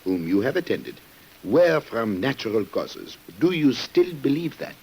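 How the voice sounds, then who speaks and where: An actor playing a grave, determined police commissioner in a formal, dramatic radio play style. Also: male voice, medium pitch, sing-song, darkish tone, husky, heavily acted. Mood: grave, probing. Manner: formal, deliberate, dramatic delivery. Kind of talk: 1950s radio drama acting. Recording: muffled-edged vintage radio drama recording.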